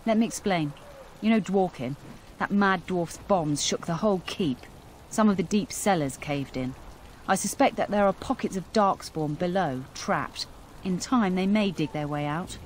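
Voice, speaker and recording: Woman doing dutiful no-nonsense soldier lady in a fantasy game, lowish voice, rumbly noise in background. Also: natural voice. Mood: concerned, reporting. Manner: brisk, matter-of-fact, earthy. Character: plain-spoken, dutiful female keep sergeant. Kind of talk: video game voice acting.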